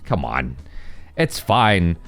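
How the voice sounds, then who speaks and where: A man's voice: low-pitched, big pitch swings, extremely slow, creaky, a bit bright. A man hosting a reaction show, chatting casually in a rambling, slangy way full of hedges. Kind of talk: casual chat.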